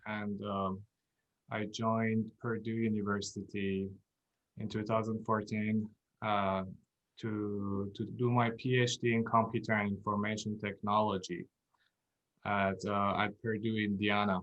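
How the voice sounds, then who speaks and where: Male researcher, fairly low flat voice, halting explanatory interview delivery. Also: lo-fi sound. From Iran.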